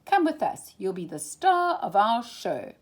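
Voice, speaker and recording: A woman voicing a bossy, scheming male puppet master, a children's storybook villain with a loud, gloating manner. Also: mid-range voice, big pitch swings, unhurried. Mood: coaxing.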